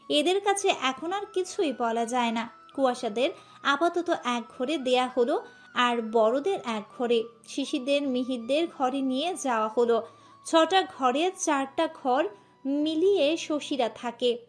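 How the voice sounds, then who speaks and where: A woman reading a scripted audio story as its narrator, with music behind her. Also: sits high. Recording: music under the voice. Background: background music.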